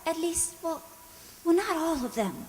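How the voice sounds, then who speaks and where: A woman playing a frightened, naive young maid in a radio drama, speaking gently and hesitantly. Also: very high-pitched, expressive, measured pace, long reverb tail. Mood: fearful. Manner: hesitant, timid, repeats words.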